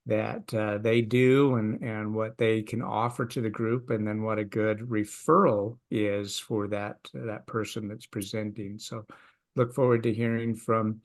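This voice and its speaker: A man hosting a video call meeting, introducing the next speaker haltingly with many uhs and repeated words. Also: lowish voice, little pitch movement. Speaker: meeting host.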